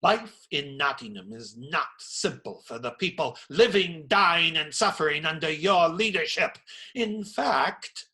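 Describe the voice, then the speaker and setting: An actor playing an earnest, righteous priest who admonishes firmly in a radio play. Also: male voice, fairly high pitch, expressive, a little slow, sharp tone, exaggerated voice. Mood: righteous indignation.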